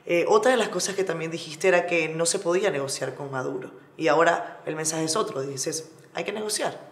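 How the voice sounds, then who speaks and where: A woman's voice: low voice, a little fast, echoey room. Woman interviewer asking probing questions.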